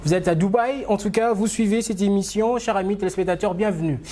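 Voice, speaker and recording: Animateur télé congolais, voix sombre et hésitante. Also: male voice, high-pitched, brisk, warm tone. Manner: rambling, many fillers and restarts. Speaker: TV show host. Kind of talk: casual TV interview.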